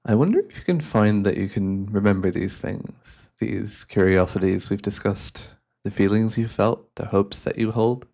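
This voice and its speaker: A man playing a devious, cultured hypnotist and collector in a fantasy ASMR roleplay, speaking in florid, theatrical phrases with a softly coaxing manner. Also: fairly low pitch, normal speed, creaky, hardly any pauses. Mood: warm, beguiling.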